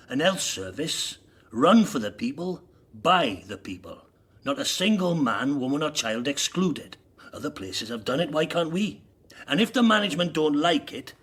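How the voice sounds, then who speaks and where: A man's voice: fairly high pitch, fairly bright tone, husky, heavily acted. An actor playing a blunt, fervent socialist miners' union man in a radio drama, speaking persuasively. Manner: forthright, rhetorical, persuasive.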